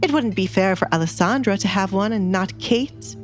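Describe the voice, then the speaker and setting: A woman's voice: mid-range voice, moderate pace, close to an everyday voice. Audio drama stepmother played by a woman: middle-aged, stern, clipped and haughty, unyielding, music behind.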